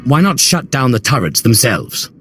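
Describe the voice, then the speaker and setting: A man's voice: medium pitch, big pitch swings, moderate pace, close to an everyday voice. A man playing a cool, unruffled Sith adventurer in a video game, speaking tersely. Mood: composed. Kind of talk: video game character acting. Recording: loud music underneath. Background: loud game music.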